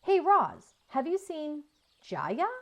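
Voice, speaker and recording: A woman voicing an eager, bossy, excitable young boy in a children's storybook. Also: high voice, takes it slowly, pitched above the performer's own voice, exaggerated voice. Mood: breathless, demanding. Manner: loud, exclaiming.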